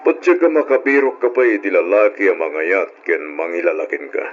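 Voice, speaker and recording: A pushy lecher with a very deep, widely swinging voice. His delivery is insinuating and theatrical, in the manner of old radio drama, on a muffled recording. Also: big pitch swings, a little fast, a little hiss or hum. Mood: leering, insinuating. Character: lecherous, pushy male customer preying on a lonely wife.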